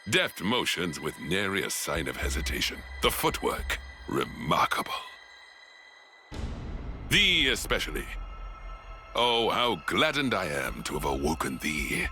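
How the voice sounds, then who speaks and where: A grandiose game villain with a low, swooping voice. He gloats in archaic diction, savoring each phrase like a puppet master. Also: huge pitch swings, a little slow, pauses often, bright, forceful tone, faint music behind, exaggerated voice. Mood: gloating, admiring. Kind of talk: video game cutscene acting.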